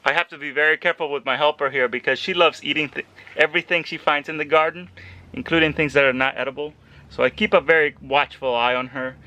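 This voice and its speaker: Chatty higher-voiced man from El Salvador. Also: steady tone, fast, rough recording. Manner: chatty, coaxing a toddler gently. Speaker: home gardener vlogger. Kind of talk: casual garden vlog. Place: outdoor garden by a greenhouse.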